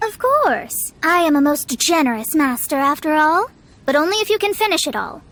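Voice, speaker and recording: A woman's voice: sits high, big pitch swings, normal speed, a bit bright. A woman voicing a proud young female astrologer in an anime game, formal and self-important. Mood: haughty. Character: proud young female astrologer, self-styled master, haughty yet flustered. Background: game music.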